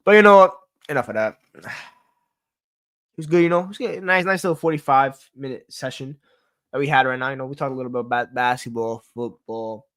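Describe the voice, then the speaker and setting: A man's voice: medium pitch, fairly quick, a bit bright. Exasperated young man ranting about his team. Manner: exasperated, ranting, repeats words, swears. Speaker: solo sports talk show host. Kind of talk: casual venting monologue.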